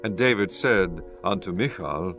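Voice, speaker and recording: A man narrating scripture from a script in a formal, measured manner. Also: sits low, animated, fairly bright tone, soft background music, rough recording.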